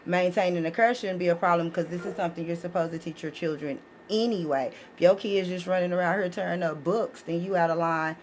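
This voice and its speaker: A woman's voice: lowish voice, fairly even intonation, a little fast, hardly any pauses. An American woman explaining things in a casual, emphatic way as a podcast guest, often checking that listeners follow. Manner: emphatic, punctuates points with check-in questions. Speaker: podcast guest presenting her educational book series. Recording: clearly noisy live podcast recording.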